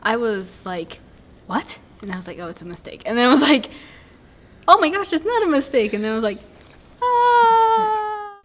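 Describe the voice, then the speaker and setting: A woman's voice: sits high, moderate pace, pauses often. A teenage young woman from Colorado chatting casually in an interview, animatedly acting out reactions. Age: teens. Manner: animated quoting with frequent "like". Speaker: student playwright.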